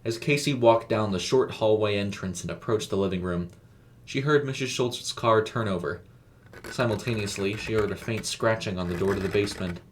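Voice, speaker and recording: Low-voiced man narrating a story. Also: moderate pace. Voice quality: low-pitched.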